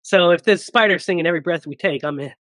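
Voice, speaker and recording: A man in his thirties hosting a casual podcast, hoarse from a cold and joking around with his co-hosts. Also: sits high, quick pace, a bit bright, no echo, clean studio sound. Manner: joking, laughs often. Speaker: podcast host.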